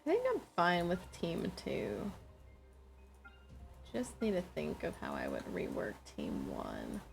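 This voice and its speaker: A woman's voice: fairly low pitch, wildly swooping pitch, slow, gravelly, soft background music. A woman streaming a game, commenting casually and musing aloud over her choices.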